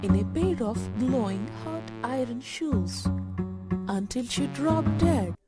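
A woman reading a scripted children's fairytale in deliberate short phrases, as a storyteller to young children. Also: on the high side, lively intonation. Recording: loud music and effects over voice.

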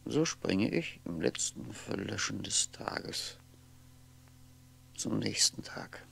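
An actor playing a lonely, world-weary aging man, musing haltingly to himself in a 1960s radio drama monologue, with a gravelly voice. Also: lowish voice, sing-song, fairly bright tone, heavily acted. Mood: brooding, wry. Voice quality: gravelly, often sinking into breathy whisper. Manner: halting, brooding, fragmented musing with pauses. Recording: 1960s radio recording, faint noise.

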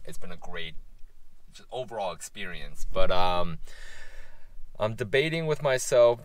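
A young man chatting casually to camera as a camping vlogger, joking in a deadpan, mock-grumbling way. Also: fairly low pitch, no room sound. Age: young adult. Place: outdoors at campsite and trail, also inside parked van. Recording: outdoor and in-car ambient noise.

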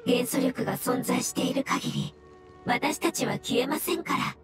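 A woman voicing a gentle, solemn mushroom spirit in an anime game, speaking formally and with earnest courtesy. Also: high-pitched, big pitch swings, normal speed. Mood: serene, reverent. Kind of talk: Japanese anime game voice acting. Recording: game music behind.

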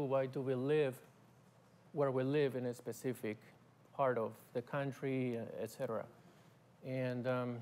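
A middle-aged man from Argentina, a guest musician, talking in a halting, casual way with fillers and restarts in a TV studio on a lapel mic. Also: medium pitch, a little mellow. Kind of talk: casual interview talk. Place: TV studio set.

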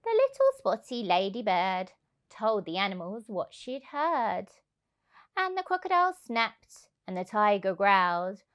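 A woman, around her thirties, reading a children's rhyming storybook aloud in an expressive, rhythmic way. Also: mid-range voice. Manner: animated, rhythmic, emphatic delivery. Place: indoors on a sofa. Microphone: clip-on lapel mic.

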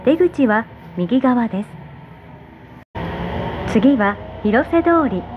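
A woman's voice: a little high, animated, slow pace. A woman making polite, formal scripted subway announcements, enunciating station names carefully. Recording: dull in-car speaker recording, loud train noise. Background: running subway train noise.

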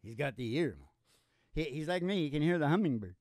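A man's voice: fairly high pitch, expressive, a little fast, darkish tone, dry recording, clean studio sound. An older man hosting a music podcast, talking in a folksy, jokey, mock-boastful way. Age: older, 60s or above. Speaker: podcast host and singing DJ. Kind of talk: rambling comic banter between songs. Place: small curtained indoor studio stage. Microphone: stand microphone, close.

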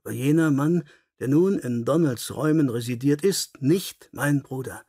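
Earnest, husky English nobleman read by a man. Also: medium pitch, normal speed, mellow, no echo, studio quality. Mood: sad, earnest. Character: earnest, melancholy English nobleman, gracious younger lord.

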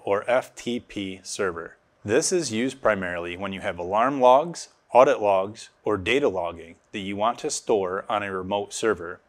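A man in his thirties giving scripted instructional narration as a technical specialist, recorded on a lapel mic in a studio. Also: lowish voice. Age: 30s. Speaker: automation specialist presenting a tutorial.

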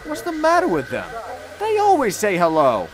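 Young male tank engine played by a man, earnest and plaintive, pitched high, very animated, storybook style. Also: measured pace, crowd noise. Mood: hurt, indignant. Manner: emotional, plaintive, exclaiming. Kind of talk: children's storybook character voice. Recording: music behind the voice. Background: music.

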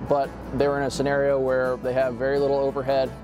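A man of middle pitch explains a work project in an interview, talking casually and pausing with fillers. Music plays clearly behind his voice. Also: monotone. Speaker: sales engineer.

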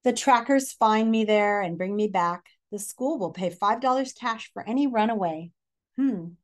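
A middle-aged American woman gives a picture book read-aloud at an everyday mid-range pitch. Her delivery is expressive and instructive, moving between the text and questions addressed to young listeners. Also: normal speed. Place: living room, indoors.

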